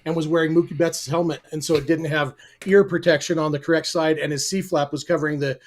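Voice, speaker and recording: A man's voice: a little high, steady tone, fast. A man co-hosting a sports podcast in casual chat, explaining points in detail with a dry sense of humor. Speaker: podcast co-host.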